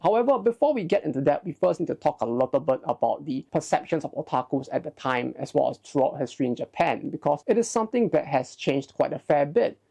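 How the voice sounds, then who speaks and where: Creaky, mellow video essay narrator. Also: medium pitch, big pitch swings, fast talker, few pauses. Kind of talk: informal explanatory video narration.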